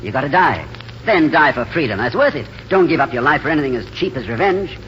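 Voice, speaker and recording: An actor playing a wistful, fatalistic drifter in a 1940s radio drama, speaking with earnest, theatrical eloquence. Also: male voice, on the high side, sing-song, fast, a little hiss or hum. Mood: urgent, impassioned. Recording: muffled 1940s radio recording.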